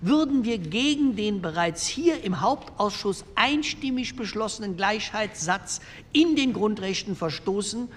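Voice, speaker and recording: A conservative postwar deputy with a distinctly high male voice. He lectures formally and insistently in a reverberant hall with faint noise. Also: expressive, measured pace, fairly bright tone, full character voice. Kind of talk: re-enacted parliamentary speech.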